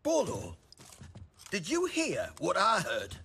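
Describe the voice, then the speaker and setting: A man playing a mocking, foul-mouthed soldier thug in a fantasy video game, with a raspy voice and a working-class British accent. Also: high voice, wildly swooping pitch, slow pace, pushed and bright, a little hiss or hum, full character voice. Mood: taunting. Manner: taunting, mocking. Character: sneering male soldier thug, foul-mouthed bully with a knife.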